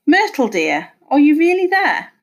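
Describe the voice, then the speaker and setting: A woman's voice: sits high, animated, normal speed, lo-fi sound, pitched above the performer's own voice. A woman voicing a meek, anguished widowed husband, devoted and obedient, pleading in a whimper as in a story reading.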